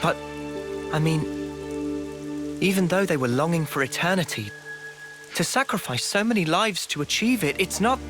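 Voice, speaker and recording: A man's voice: a little high, lively intonation, a little slow, pauses often. An earnest, thoughtful young man. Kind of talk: video game cutscene dialogue. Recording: game cutscene with music behind. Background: game music.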